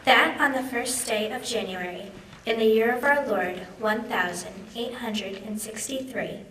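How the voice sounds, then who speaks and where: A young woman reads a formal document in a fairly high voice. The recording is distant and echoey, with faint background noise. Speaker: student reader. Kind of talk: formal reading aloud of a document.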